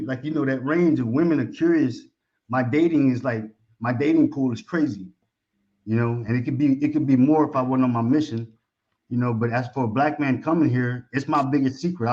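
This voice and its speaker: A man's voice: mid-range voice, a little mellow. A man from Texas with an American accent, talking casually as a guest in a slangy, familiar, talkative manner. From Texas, United States. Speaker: caller, expatriate guest. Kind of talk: casual conversation. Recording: dull lo-fi call audio.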